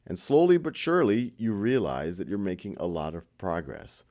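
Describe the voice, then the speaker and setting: Low-voiced man guiding a meditation. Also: big pitch swings, moderate pace, darkish tone. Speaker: meditation guide. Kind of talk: guided meditation, informal and conversational.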